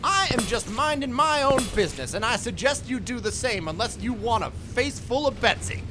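Man voicing a grumpy retired waste worker, blunt threats, lively swings in pitch, music under the voice. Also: moderate pace. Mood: gruff threatening. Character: gruff retired male waste worker with a shovel. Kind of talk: audio drama acting.

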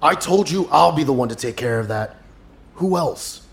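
An actor playing a weary, conflicted debt collector in an audio drama, terse and sardonic. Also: male voice, a little high, expressive, moderate pace, gravelly. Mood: defensive.

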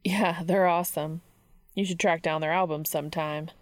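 A woman's voice: sits low, steady tone, moderate pace, no room sound, close to an everyday voice. A reserved teenage boy from an audiobook, read by a woman narrator. He talks in a casual, understated, laconic way, and the recording is dry. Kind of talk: audiobook character dialogue.

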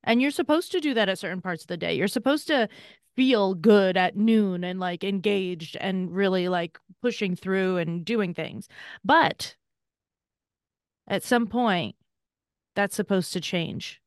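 A woman's voice: mid-range voice, a little slow, clean tone, no room sound, clean studio sound. A woman in her forties, a podcast co-host and Chinese medicine practitioner, explaining in an enthusiastic, chatty way. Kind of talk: conversational explanation.

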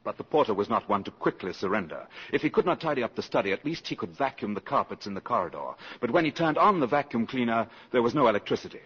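A man giving scripted, suspenseful, measured narration for a 1950s radio drama. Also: mid-range voice, expressive, a bit bright, breathy edge. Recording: dull old radio broadcast, faint music.

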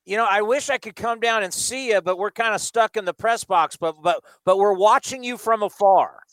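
A man's voice: high voice, moderate pace, fairly bright tone, no echo. A male radio broadcaster interviewing a coach from a stadium press box, chatty and enthusiastic.

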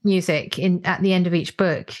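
A woman's voice: on the low side, fairly even intonation, moderate pace. A woman hosting a chatty book discussion in a playful, enthusiastic manner. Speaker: podcast host and interviewer. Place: remote call.

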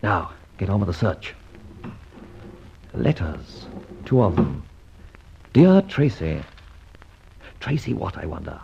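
A man acting a suave, playful gentleman adventurer in an old-time radio serial, with wry, theatrical, mock-grand phrasing. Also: deep voice, very animated, slow, creaky, pauses often, slight background noise, heavily acted. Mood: intent. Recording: dull, band-limited old radio recording.

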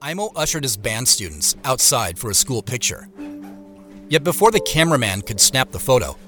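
A man playing an introspective searcher who narrates his own story in a measured audio drama style. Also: medium pitch, sing-song, fairly bright tone, faint music behind. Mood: storytelling.

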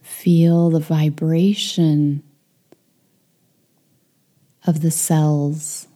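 A woman leading a guided meditation, speaking softly and soothingly, with some phrases whispered. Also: low voice, level tone, very slow.

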